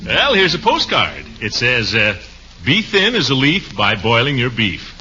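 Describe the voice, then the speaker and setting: An actor playing a pompous, blustering middle-aged uncle in a 1940s radio comedy, full of puns and chuckles. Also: male voice, mid-range voice, huge pitch swings, unhurried, pushed and bright, a little hiss or hum, heavily acted. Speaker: comic lead of a radio sitcom. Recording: dull lo-fi 1940s radio recording.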